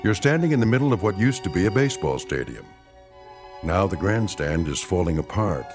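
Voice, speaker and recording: A man giving measured, ominous scripted narration for a radio drama. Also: low voice, sing-song, music in the background.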